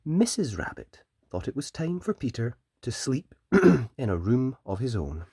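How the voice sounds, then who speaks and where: Mellow, expressive children's storybook reader. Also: medium pitch, measured pace, breathy edge, dry recording, studio quality.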